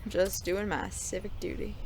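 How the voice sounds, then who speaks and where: Woman acting gravelly, wry Old West gunslinger. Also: mid-range voice, normal speed, background noise, lightly acted. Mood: fond, wry. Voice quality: gravelly creak. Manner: drawling frontier slang, wry. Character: weary, honorable, teasing gunslinging ex-sheriff of the Old West. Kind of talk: audio drama western dialogue.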